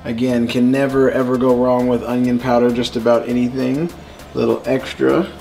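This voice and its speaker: A man's voice: mid-range voice, level tone, normal speed, vocal fry, dark tone, soft background music. A man in his thirties co-hosting a home cooking show, talking in an upbeat, easygoing, jokey way while seasoning, on a lapel mic in a home kitchen. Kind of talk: casual cooking show chat.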